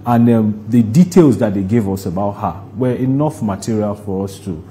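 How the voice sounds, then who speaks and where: A man's voice: lowish voice, expressive, normal speed, mellow, slight background noise. A man with a Nigerian accent speaking as a producer in an interview, recounting at length and repeating words for emphasis. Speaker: interview guest, stage play producer.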